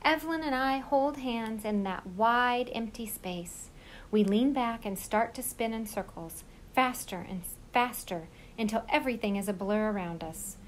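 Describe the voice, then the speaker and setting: A woman reading a children's picture book aloud in an expressive storytelling style. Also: on the high side, a little slow, a little hiss or hum, low-quality recording.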